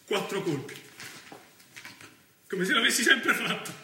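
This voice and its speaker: Cocky young Roman gunman, high-pitched. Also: animated, a little slow, pushed and bright, heavily acted. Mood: fierce pride. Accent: Roman Italian. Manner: intense, crude street talk with sudden swells. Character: young male Roman far-right gunman, cocky, exhilarated, crude. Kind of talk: stage monologue, confessional first-person storytelling. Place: theatre stage, recorded from a distance. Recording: roomy distant stage recording, faint noise.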